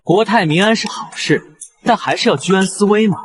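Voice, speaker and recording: Man playing a brisk, sharp-tongued young commoner. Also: sits high, slightly rough. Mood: casual, encouraging. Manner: brisk, sarcastic, argumentative. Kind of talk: animated web drama dialogue.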